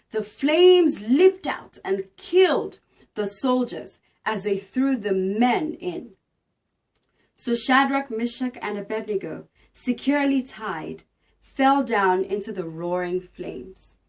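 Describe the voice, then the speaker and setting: A woman narrating a scripture story in a theatrical, emphatic and deliberate reading style. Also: a little high, lively intonation, far from the mic. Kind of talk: dramatic Bible reading.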